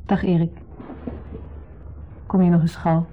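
Polite calm wife in old radio drama, lowish voice, muffled sound. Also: female voice, slow, a little hiss or hum. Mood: politely bright. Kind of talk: 1970s radio drama acting. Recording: dull 1970s radio play recording.

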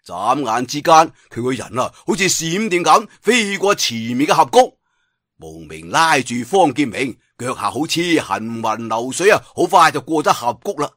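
Animated Cantonese storyteller, husky bright man. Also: mid-range voice, moderate pace, dry recording, clean studio sound. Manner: dramatic, conversational asides to listeners. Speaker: audiobook storyteller. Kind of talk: animated traditional oral storytelling of martial-arts tales.

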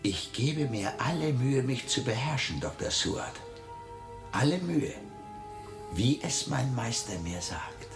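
Obsessive asylum patient, creaky and swooping. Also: male voice, medium pitch, big pitch swings. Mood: insistent, secretive. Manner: formal, insistent, deliberate pleading. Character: obsessive male asylum patient, courteous, pleading, devoted to a master. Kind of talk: German radio horror drama. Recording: roomy echo, faint music behind.

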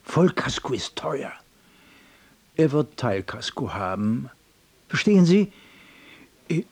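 An actor playing a bewildered, hesitant man in a radio play, talking in halting, puzzled fragments. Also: mid-range voice, darkish tone, breathy edge, heavily acted. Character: bewildered, lost, hesitant man, unsure of the world. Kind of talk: German radio play acting.

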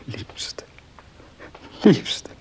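Heartbroken devoted husband in an old-time radio play, slow, breathy, half whispering. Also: male voice. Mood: sorrowful, tender. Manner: slow, hushed, earnest, emotional. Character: grieving, devoted husband in a period drama. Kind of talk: radio drama acting.

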